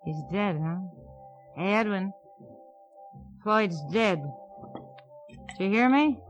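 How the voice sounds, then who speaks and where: An actress playing a tired, middle-aged, hard-drinking widow, curt and jaded, in an old-time radio crime drama. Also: female voice, mid-range voice, lively intonation, takes it slowly, soft background music. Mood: flat, blunt. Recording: muffled vintage radio recording.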